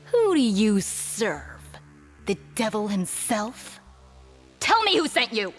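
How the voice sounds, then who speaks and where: An actress playing a stern, serious woman janitor in an audio drama, husky and sharply exasperated. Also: mid-range voice, big pitch swings, takes it slowly, faint music behind, heavily acted. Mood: angry, accusing.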